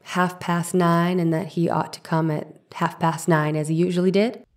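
A woman playing a formal, deliberate trial lawyer in a dramatic reading of an old court case. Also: on the low side, little pitch movement, close to an everyday voice. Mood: probing, formal. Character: formal, probing trial lawyer cross-examining a witness.

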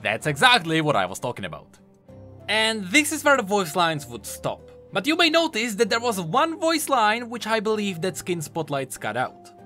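A man narrates an explainer video in a bright, fairly high voice. His intonation is very animated, with emphatic points made like an enthusiastic gaming commentator. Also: moderate pace. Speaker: video game lore YouTuber. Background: music.

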